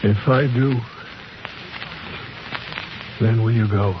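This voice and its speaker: An actor playing a fearful, haunted man in hiding, halting and anguished, in a 1950s radio drama. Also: on the low side, steady tone. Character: haunted, fearful young fiancé in hiding, desperate and evasive. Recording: muffled, noisy 1950s radio recording.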